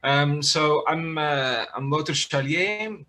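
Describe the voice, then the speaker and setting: A man from Belgium, a chief librarian, introducing himself on an online panel over a video call, speaking in measured phrases with filler pauses. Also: fairly high pitch, bright, forceful tone.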